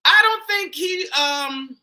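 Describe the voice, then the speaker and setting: Loud chatty guy w a pittsburgh accent hosting a live dating stream, voice jumps all over, super bright. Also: very high voice, expressive, takes it slowly. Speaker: dating coach hosting a live call-in show. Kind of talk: casual live-stream chat.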